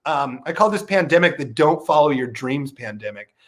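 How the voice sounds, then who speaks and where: A man's voice: lively intonation, normal speed. A man in his thirties, a comedian talking as a podcast guest, laid-back and self-deprecating. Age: 30s. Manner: laid-back, self-deprecating, jumps into a high voice when quoting himself. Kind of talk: casual chat.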